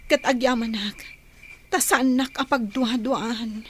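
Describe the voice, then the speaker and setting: A woman's voice: fairly high pitch, steady tone, slow, slight background noise. A woman playing an anxious pregnant young wife in an audio drama. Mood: hurt, doubtful. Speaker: voice actor. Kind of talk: dramatised audio story acting.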